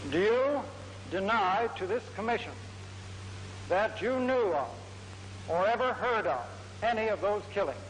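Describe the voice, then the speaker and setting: A man speaks as a prosecutor in a formal courtroom address, delivering measured, deliberate phrases on an old 1940s archive recording. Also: high voice, animated, a little hiss or hum, poor audio. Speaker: military prosecutor.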